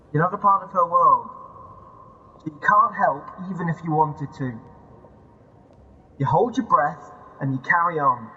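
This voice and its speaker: A man's voice: a little high, pushed and bright. An actor in an audio drama playing an insistent, teasing man who prods like a nagging inner voice. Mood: goading. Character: needling male inner voice dredging up memories. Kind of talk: audio drama acting, poetic second-person narration. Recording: dull sound with long hall reverb.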